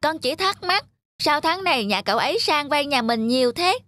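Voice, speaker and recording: A woman's voice: high voice, lively intonation, moderate pace, bright, forceful tone, no echo, faint music behind. A woman voicing an outspoken, naive little village schoolboy in cartoon dialogue. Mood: defensive. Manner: blunt, argumentative.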